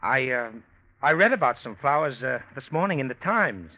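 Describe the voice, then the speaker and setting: An actor playing a smooth, courteous young man who hides guilt behind politeness, in a 1940s radio drama style. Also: medium pitch, lively intonation, normal speed, lightly acted. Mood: courting, casual. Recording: dull vintage radio drama recording.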